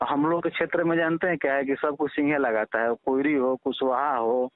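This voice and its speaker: A man's voice: medium pitch, fairly even intonation, a little fast, dry recording. A man with a Bihari accent in a casual phone conversation, speaking in a conciliatory way and repeating himself for emphasis. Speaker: father of a child at the centre of a public appeal. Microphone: phone call. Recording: tinny, narrow-band phone line recording.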